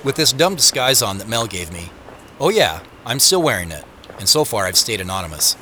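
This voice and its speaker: A man's voice: fairly low pitch, big pitch swings, brisk, pushed and bright, a little hiss or hum. An actor playing a harried, self-conscious man in an audio drama, speaking in a wry, confiding way. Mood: wry, reflective. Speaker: narrator and lead actor. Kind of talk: first-person audio drama narration and acting. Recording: produced audio drama with music, street ambience.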